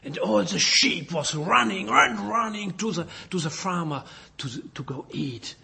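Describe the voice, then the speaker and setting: Man preaching, husky, slow and halting, repeats words, Belgian. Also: high-pitched, animated, pushed and bright. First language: French. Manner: halting, repeats words, reads scripture aloud. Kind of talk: sermon.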